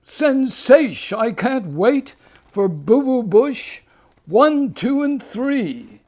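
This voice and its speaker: A man voicing an excitable male studio boss who gushes loudly, stretching out his words. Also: very high-pitched, extremely slow, pitched above the performer's own voice, full character voice. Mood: gushing. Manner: shouting with enthusiasm, stretching words.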